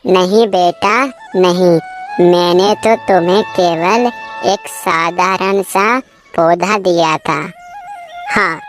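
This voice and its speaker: A woman voicing a wise, kindly old village man in a moral cartoon, with a measured, fatherly manner. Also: fairly low pitch, steady tone, faint music behind. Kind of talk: Hindi moral cartoon story voice acting.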